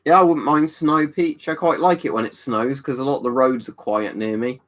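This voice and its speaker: A man's voice: medium pitch, fairly even intonation, normal speed, a bit bright. A man, casually chatting and answering viewers on a livestream. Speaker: livestream host reading chat. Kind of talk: casual chat.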